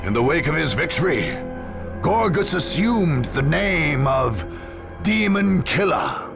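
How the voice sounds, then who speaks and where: A solemn chronicler of war for a video game. His delivery is slow and theatrical, rising and falling widely over prominent music. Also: a little high, big pitch swings, vocal fry, full character voice. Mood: grand, grim. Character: grave male war chronicler. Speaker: narrator. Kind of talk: dramatic scripted game narration.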